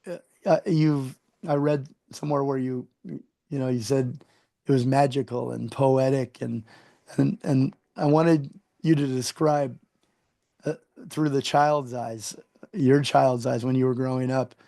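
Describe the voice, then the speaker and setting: A man's voice: medium pitch, steady tone, measured pace, vocal fry, warm tone, no room sound, clean studio sound. A man hosting an interview show, praising his guest effusively with frequent restarts. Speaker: show host.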